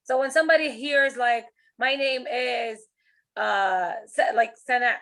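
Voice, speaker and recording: A woman runs an online writing class over a video call, her voice high and her pace slow and unhurried. She laughs often and doubles back on her words as she coaxes the class along. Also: a bit bright. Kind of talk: online class, encouraging and chatty.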